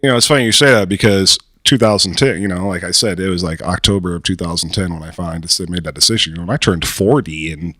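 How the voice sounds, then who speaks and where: A man's voice: low-pitched, fast talker, hardly any pauses, sharp tone, no echo. A man in his forties telling stories in a casual, informal, self-deprecating way as a podcast guest. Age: 40s. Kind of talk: casual conversational storytelling.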